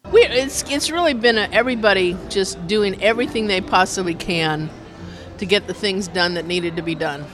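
A woman's voice: medium pitch, moderate pace. A woman giving a casual interview as a member of a radio station team. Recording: field interview with loud music behind.

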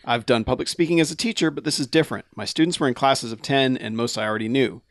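A man hosting a casual podcast, reading out listener mail and giving relaxed advice with frequent laughs. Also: medium pitch, no room sound, studio quality. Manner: relaxed, laughs often, slows down when reading emails.

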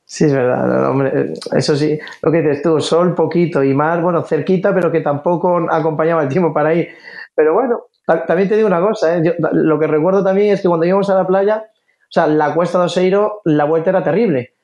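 A middle-aged man from Galicia with a faded Galician accent that has mixed with other Spanish, speaking as a guest in a casual interview. He is earnest and hesitant, often restarting and repeating words, and his voice sits a little high for a man. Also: a little fast, a little mellow, lo-fi sound. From Galicia, Spain. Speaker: interview guest, former football player.

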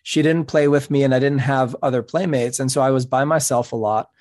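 A middle-aged man whose first language is Spanish, speaking as a podcast guest in an earnest, measured, explanatory way. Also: mid-range voice, steady tone, darkish tone. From New York City. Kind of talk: reflective interview talk.

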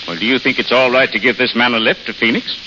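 An actor playing a well-to-do, pompous and easily flustered gentleman traveler in a 1930s radio drama. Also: medium pitch, quick pace. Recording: reverberant, muffled, noisy old radio broadcast.

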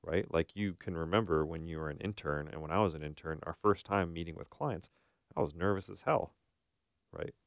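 A man with a very low, gravelly voice talks as a therapist co-host in a casual advice discussion. He explains step by step and keeps posing questions to check agreement. The sound is dry, with little room in it. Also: fairly quick, no echo. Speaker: therapist co-hosting a talk show.

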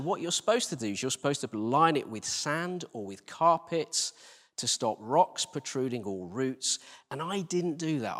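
A man's voice: mid-range voice, fairly bright tone. A middle-aged man preaching through personal anecdote, in a conversational, self-deprecating way, recorded live in a church hall. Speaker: church team leader preaching. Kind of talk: anecdotal sermon. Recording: reverberant hall.

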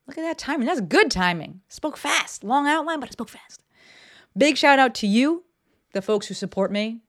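A woman's voice: high voice, expressive, moderate pace, fairly bright tone. Brief: woman, solo podcast host at her desk, frank and profane, chatty with plenty of fillers. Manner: blunt and profane, lots of fillers. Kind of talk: casual solo podcast talk. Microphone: desk microphone.

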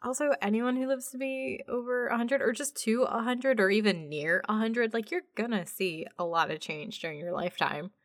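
A woman's voice: a little high, normal speed, few pauses, no echo. Casual woman, close dry sound. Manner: informal, mildly sweary storytelling. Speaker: podcast co-host. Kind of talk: casual chatty podcast conversation.

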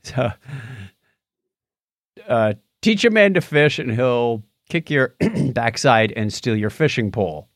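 A man's voice: mid-range voice, lively intonation, slow pace, no echo, clean studio sound. A middle-aged man co-hosting a podcast in casual, bantering conversation. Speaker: podcast co-host.

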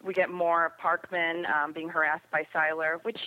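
A woman's voice: low-pitched, level tone, moderate pace. A woman chatting casually about television in an opinionated, emphatic way over a remote call. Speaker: podcast guest commentator on sci-fi TV. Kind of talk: casual TV review chat. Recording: dull, phone-like line.

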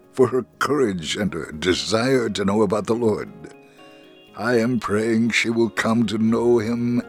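A man narrating a scripted first-person faith story as a dramatic testimony. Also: fairly low pitch.

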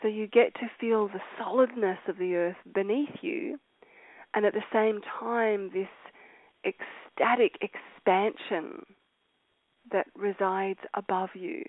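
A woman leading a guided meditation, speaking slowly and softly and now and then trailing into a whisper. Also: medium pitch, fairly even intonation, no room sound. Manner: slow, soft and soothing, drifting into whispers. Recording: dry, tinny phone-like sound.

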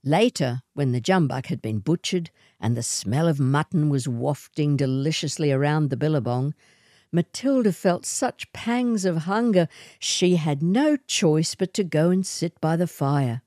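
A woman reading a short story as narrator, in an expressive scripted storytelling style. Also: deep voice, a little slow, no room sound, clean studio sound.

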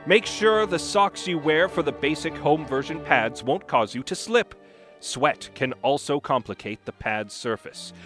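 Theatrical high-pitched man reading over music. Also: fairly bright tone. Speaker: reader of a comic dramatic reading. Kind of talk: dramatic reading of how-to tips. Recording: music playing behind the voice.

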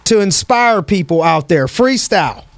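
A man's voice: high-pitched, animated, moderate pace, no room sound. A man hosting a podcast, casual and upbeat as he steers the conversation. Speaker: podcast host. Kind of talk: casual chat.

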